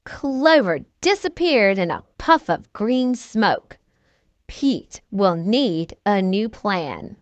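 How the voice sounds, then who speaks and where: A woman reading a children's storybook aloud in an expressive, deliberate storytelling manner. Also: medium pitch, no echo, dull audio. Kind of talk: scripted read-aloud storybook narration.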